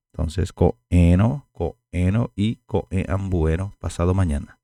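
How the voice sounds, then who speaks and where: A man teaching a language lesson, speaking slowly and repeating each word for learners to copy, with Guarani as his first language. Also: low-pitched, gravelly, mellow, no echo.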